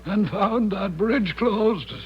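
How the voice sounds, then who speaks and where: An actor playing an evasive, folksy country innkeeper with an Irish accent in a 1970s radio drama, his voice husky and high. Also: slow, slight background noise. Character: evasive old-country Irish landlord of a country inn. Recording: dull lo-fi 1970s radio broadcast.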